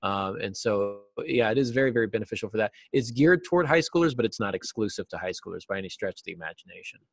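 A man's voice: fairly low pitch, animated, fast talker, creaky, no echo. A middle-aged man giving conversational answers as a guest writing teacher in an interview, speaking over a video call from an office. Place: small office, indoors.

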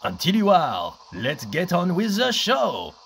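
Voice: mid-range voice, wildly swooping pitch, unhurried, noisy background, pitched above the performer's own voice. A storyteller voicing a kind male frog who speaks to his friend in an encouraging way.

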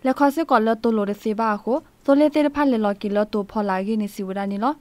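A woman's voice: fairly high pitch, quick pace, a little mellow, dry recording. A woman presenting radio news, reading from a script.

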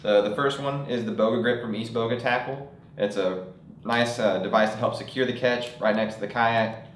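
A man's voice: mid-range voice, steady tone, moderate pace, reverberant, slight background noise. A young man presenting fishing products at a table in a studio, talking casually with frequent fillers. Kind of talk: product demonstration.

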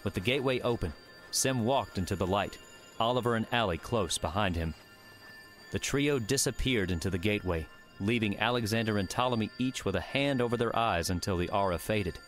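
Low voiced guy narrating an audiobook story, faint music behind him. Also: fairly quick. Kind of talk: scripted narration.

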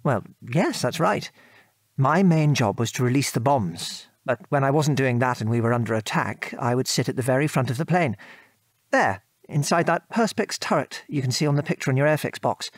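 An actor as a gentle British ex-airman father, close and dry. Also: mid-range voice, expressive, normal speed, no echo, lightly acted. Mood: patient, fond. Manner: old-fashioned genteel turns of phrase. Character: gentle middle-aged British father, former wartime RAF bomb aimer. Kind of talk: radio drama dialogue, reminiscing.

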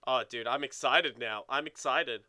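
Bright, clear-voiced young man bantering. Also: medium pitch, moderate pace. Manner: rambling, filler-heavy with frequent "like". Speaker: let's play co-host. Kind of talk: casual gaming banter, swearing.

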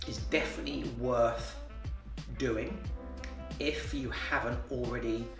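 A man's voice: medium pitch, steady tone, a little slow, gravelly, a bit bright, faint music behind. Matter-of-fact man in a roomy office. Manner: plain-spoken, matter-of-fact, with repeated fillers and restarts. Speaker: renovation company boss. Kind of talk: interview answers. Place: office, indoors. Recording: roomy office sound from far off.

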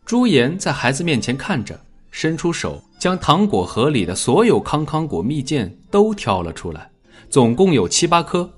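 A man narrating a scripted dramatic story in an expressive storytelling manner. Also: fairly high pitch, normal speed, slightly rough, soft background music. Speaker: audiobook narrator.

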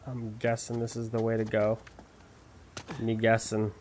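A man's voice: on the low side, completely flat delivery, a little slow, a little mellow, a little hiss or hum. A man giving casual gameplay commentary, chatty and self-mocking, guessing aloud. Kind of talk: casual let's-play commentary.

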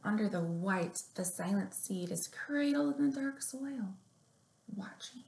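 A young woman presents story time for little kids at an unhurried pace. Her voice has a noticeable creak at an ordinary female pitch. She is recorded on a webcam in a roomy, echoing space. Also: animated, a little mellow. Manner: measured, deliberate read-aloud delivery with simple instructions. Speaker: children's librarian, story time host. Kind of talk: picture-book reading and finger plays for young children. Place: small room indoors at home or office. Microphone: webcam or laptop mic at arm's length.

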